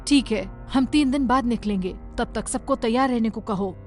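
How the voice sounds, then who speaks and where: A woman voicing a brave, resolute young queen in an animated story, with a firm, commanding manner. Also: on the high side, moderate pace, faint music behind, close to an everyday voice.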